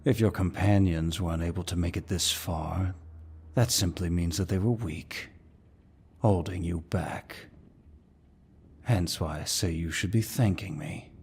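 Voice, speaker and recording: A man playing a suave, dominant demon lord who speaks in a deep, husky voice with mocking courtesy, as in an ASMR villain roleplay. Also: fairly even intonation, a little mellow, slight background noise. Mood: condescending. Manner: slow, mocking, mock-courteous, theatrical.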